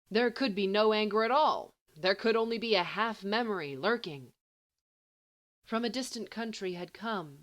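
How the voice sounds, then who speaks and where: A woman narrates fiction in a voice pitched a little below average. Her reading is expressive and scripted, with deliberate phrasing and emphatic stresses that give the story drama. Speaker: audiobook reader of fan fiction.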